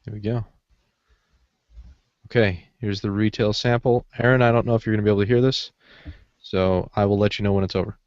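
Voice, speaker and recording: A man's voice: fairly low pitch, steady tone, fairly quick, pauses often, a little mellow, no echo. A man co-hosts a podcast in a casual, conversational way. The sound is dry and close, with a dull lo-fi edge like an online call. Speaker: podcast co-host.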